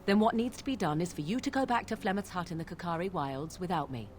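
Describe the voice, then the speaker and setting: An actress voicing an aloof young witch in a video game, speaking formally and in measured phrases. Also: on the low side, darkish tone, a little hiss or hum, natural voice. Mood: cool.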